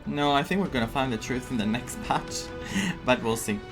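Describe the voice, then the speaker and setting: A man's voice: mid-range voice, moderate pace. Guy streaming a game, super reactive and gushy, bit of game music behind him. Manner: gushing, reactive. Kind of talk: casual gameplay commentary.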